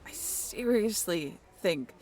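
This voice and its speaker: A woman's voice: on the low side, animated, takes it slowly, darkish tone. A young woman playing a friendly, teasing sailing-ship crew member in an amateur audio roleplay, chatty and informal with a joking streak. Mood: fond, casual. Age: young adult.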